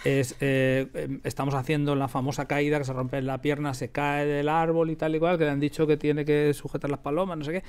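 A man with an accent from Spain chatting casually in an interview, animated and often repeating words for emphasis. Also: fairly high pitch, a little fast, few pauses, smooth. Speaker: radio drama creator, interviewee. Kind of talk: casual interview chat, telling anecdotes. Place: radio drama studio.

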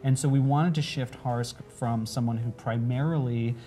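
A man's voice: mid-range voice, fairly even intonation, normal speed, dark tone, soft background music. A man in his forties hosting a conversational on-camera interview, seated indoors. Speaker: host, game company creative director.

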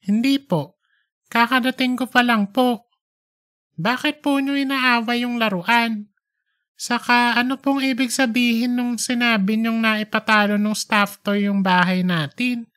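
A woman's voice: on the high side, steady tone, measured pace, a bit bright. A woman voicing a polite, innocent young schoolboy in an audiobook. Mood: innocent, concerned. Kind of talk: audiobook character reading.

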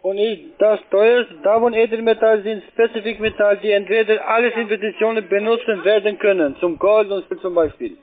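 High-voiced male telemarketer on phone. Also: steady tone, a little fast, few pauses. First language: not German. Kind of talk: phone sales pitch. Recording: tinny narrow phone line.

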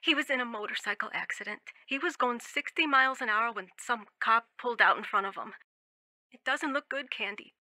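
A woman's voice: a little high, a little fast, bright, forceful tone, dry recording. A woman playing a worried young friend in an audio drama, speaking urgently and insistently. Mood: urgent, grave. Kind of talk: radio drama dialogue.

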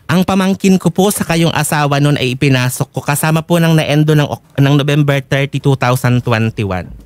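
A man's voice: fairly high pitch, moderate pace, clean tone, dry recording. A man with a Filipino accent laying out grievances formally and insistently as a complainant. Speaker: complainant, factory worker. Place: broadcast studio, crowded with coworkers. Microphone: desk microphone.

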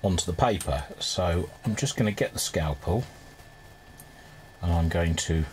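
A man's voice: low voice, measured pace, darkish tone. A man giving an informal hands-on demonstration, thinking aloud as he works at his bench. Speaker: restorer presenting a hobby repair video. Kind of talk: casual hands-on demonstration commentary. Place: indoor cluttered electronics workbench. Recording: clear background noise, workshop ambience.

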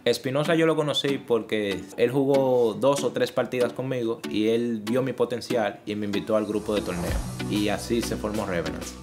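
Brisk-talking young man over loud music. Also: medium pitch, fairly even intonation. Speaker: esports player. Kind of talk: documentary interview.

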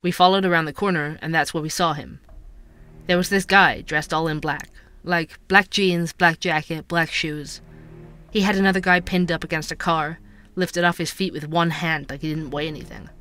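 A man's voice: on the high side, fairly even intonation, moderate pace, pushed and bright, smooth. An actor playing an earnest young male conspiracy podcaster, narrating a scripted audio drama like a storyteller. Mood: recounting, intrigued. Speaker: podcast host.

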